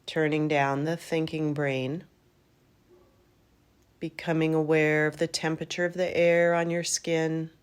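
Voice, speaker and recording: Female meditation guide: low register, very slow delivery, calm and gentle, roomy pauses between phrases. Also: clear, resonant tone. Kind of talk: guided yoga nidra meditation.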